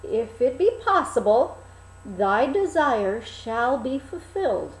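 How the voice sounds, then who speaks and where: Woman as a slow, solemn storybook father. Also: fairly high pitch, very animated, reverberant, exaggerated voice, low-quality recording. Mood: concerned, indulgent.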